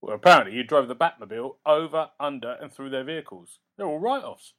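A man voicing a nervous, fussy male city lawyer who stammers and talks fast. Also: lively intonation, a bit bright, natural voice. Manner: stammering, high and hurried.

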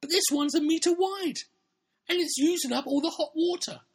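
A man voicing a frightened, exclaiming daughter in a high, shrill voice for a comic poem. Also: moderate pace. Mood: alarmed. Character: frightened daughter crying out about a spider.

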